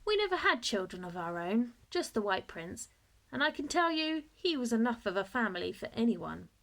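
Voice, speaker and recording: A woman narrates a children's story with a fairly high voice. She reads like a teacher, measured and expressive, telling it in the first person. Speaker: teacher reading aloud.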